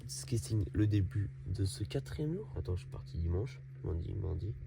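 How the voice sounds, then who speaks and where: A man's voice: sits low, expressive, normal speed, gravelly, noisy background. A young man vlogging in a casual, rambling way, stuffy with a cold and a morning voice. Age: young adult. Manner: rambling, chatty, filler-heavy. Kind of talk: casual vlog narration.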